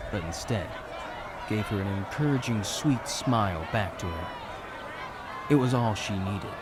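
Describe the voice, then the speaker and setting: A man's voice: medium pitch, background noise. A man narrating a scripted fantasy story in a dramatic storytelling style.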